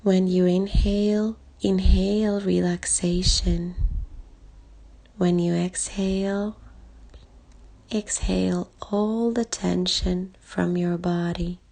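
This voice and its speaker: A woman leading a guided body scan meditation in a calm, soothing way, speaking in short soft phrases with long pauses and a breathy edge. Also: on the low side, poor audio. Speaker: meditation guide, life coach. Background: background music.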